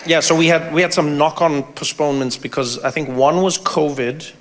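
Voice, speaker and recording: A man hosting a casual comedic podcast chat, animated and laughing, often acting out voices in his stories. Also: fairly high pitch, normal speed. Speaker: podcast host.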